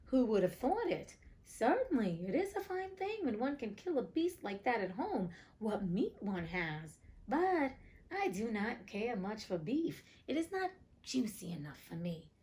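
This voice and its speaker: A woman's voice: on the high side, big pitch swings, measured pace, darkish tone. A woman voicing a naive, easily pleased young fairy-tale man, musing earnestly and with self-satisfaction.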